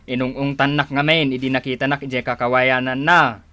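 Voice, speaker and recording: A woman's voice: a bass voice, level tone, a little fast, pushed and bright, dry recording. A woman playing a whiny, scheming village spouse who feigns illness in a radio drama, complaining plaintively with an Ilocano accent. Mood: worried, complaining.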